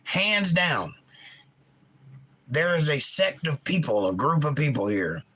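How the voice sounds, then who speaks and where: A middle-aged man with a Georgia accent, hosting a casual live chat and reading out viewer comments, enunciating crisply in an animated, opinionated way. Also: on the high side, slow pace. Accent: Georgia, US South. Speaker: livestream host.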